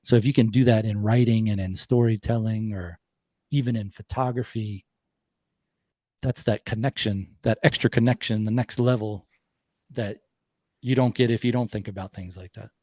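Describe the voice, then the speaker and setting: A man with a Southern US accent hosting a podcast, talking reflectively and unhurriedly, with pauses. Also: fairly low pitch, fairly even intonation, darkish tone, no room sound. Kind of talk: casual conversation.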